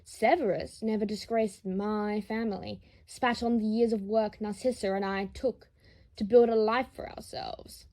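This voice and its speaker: A woman's voice: mid-range voice, fairly even intonation, unhurried, dark tone, lightly acted. A woman voicing a proud, bitter older aristocratic father in an audiobook drama, speaking in a haughty, formal, reproachful way. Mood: scornful, accusing.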